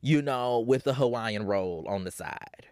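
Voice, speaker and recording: A man's voice: medium pitch, a little slow, darkish tone, dry recording, clean studio sound. A man guesting on a podcast in casual chat, sassy and emphatic, often tagging his points with "okay?".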